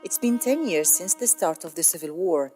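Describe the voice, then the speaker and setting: A woman's voice: on the low side, animated, normal speed, music in the background. A woman presenter from Syria, reading a reflective scripted promo.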